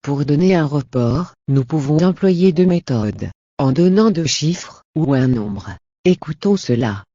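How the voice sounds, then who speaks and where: Mellow, higher-voiced man presenting a lesson. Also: animated, measured pace, dry recording, lo-fi sound. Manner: encouraging, didactic. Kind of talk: scripted instructional narration.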